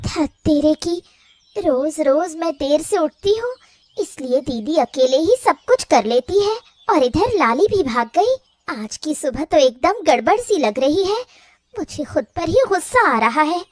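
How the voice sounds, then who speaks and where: A woman playing an excitable, scatterbrained young daughter-in-law in a cartoon family story, exclaiming plaintively. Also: sits high, a little slow, no room sound, studio quality. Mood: flustered.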